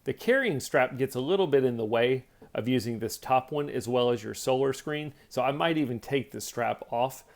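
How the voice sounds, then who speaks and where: A man with a mid-pitched voice that often settles into creak talks through a product demonstration. His delivery is plainspoken and casual, explaining each feature in simple steps. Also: normal speed, hardly any pauses. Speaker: product reviewer.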